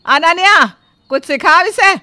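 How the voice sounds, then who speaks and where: A woman voicing a bossy, sharp-tongued older mother-in-law who scolds and commands her family. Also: extremely high pitch, a little slow, no room sound, full character voice. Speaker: voice actor. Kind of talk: Hindi storybook drama acting.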